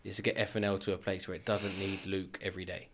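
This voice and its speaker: A man, a business owner chatting as a podcast guest and telling quick, animated stories with asides. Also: low voice. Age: 30s. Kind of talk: casual podcast chat.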